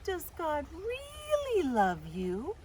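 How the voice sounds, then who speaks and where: A sly storybook serpent, acted out by a woman reading aloud, coaxes in a very slow, drawn-out way. The pitch lifts above an ordinary reading voice, and the words are wheedling and insinuating. Faint noise sits behind it. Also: wildly swooping pitch, darkish tone, exaggerated voice. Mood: sly, mock-pitying. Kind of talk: children's storybook character reading. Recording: faint outdoor background noise.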